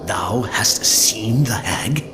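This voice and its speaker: Solemn, low-voiced, haunted old zealot. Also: male voice, lively intonation. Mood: wary, grave. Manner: slow, grave, hushed storytelling. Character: solemn, haunted, ageing religious man of archaic speech. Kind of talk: archaic narration in a fantasy game. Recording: echoey, loud music behind.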